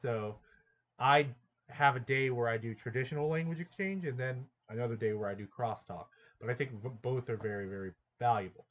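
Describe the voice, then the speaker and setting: A man's voice: medium pitch, fairly quick, fairly bright tone, muffled recording. A man talking casually about his language exchange habits, thinking aloud with frequent ums and asking "right?". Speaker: language learner sharing tips. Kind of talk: casual explanatory vlog talk.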